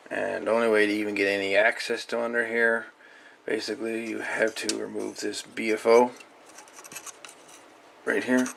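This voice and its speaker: A man giving a casual, unhurried, folksy hands-on repair narration, thinking aloud as he goes. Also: lowish voice, vocal fry, a bit bright, a little hiss or hum. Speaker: hobbyist radio repairer presenting a video.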